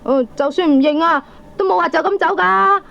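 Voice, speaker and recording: A woman's voice: extremely high pitch, fairly quick, creaky, fairly bright tone, heavily acted. A woman playing a cheeky, shameless young wastrel son in a Hong Kong Cantonese comic radio drama, slangy and wheedling. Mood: pushy, wheedling. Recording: dull 1980s audio cassette recording.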